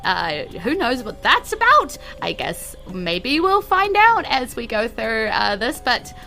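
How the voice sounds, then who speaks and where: A woman with a New Zealand accent, chatting as host and game master of a live tabletop show in a bubbly, expressive way. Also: fairly high pitch, takes it slowly, gravelly, soft background music.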